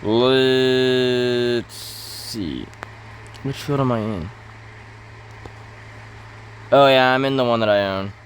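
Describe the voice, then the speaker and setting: A man giving casual role-play gameplay commentary in a halting way, thinking aloud as he hosts. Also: mid-range voice, slight background noise. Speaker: gaming video host.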